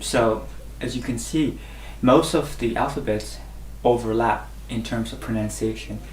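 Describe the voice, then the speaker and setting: Slow, patient male language teacher. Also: medium pitch, reverberant, slight background noise, rough recording. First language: Burmese. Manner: repeats sounds clearly three times, patient. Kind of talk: slow instructional lesson.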